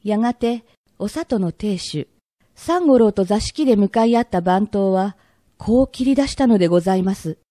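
A woman narrating an old Edo tale for a radio drama in polite, measured storyteller phrasing. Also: medium pitch, animated, mellow, no room sound, lightly acted.

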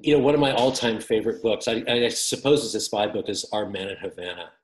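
Halting man on a roomy video call. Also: fairly low pitch, a little hiss or hum. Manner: halting, many restarts and fillers. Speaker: author guest. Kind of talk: casual interview answers. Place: home room with windows. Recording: roomy echoey call audio.

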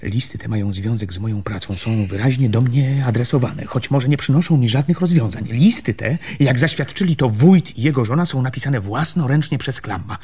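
An actor playing a stern, insistent land surveyor with a husky voice and formal, argumentative speech in a radio play. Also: male voice, mid-range voice, brisk, few pauses, dark tone, close to an everyday voice. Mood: reasoning, insistent.

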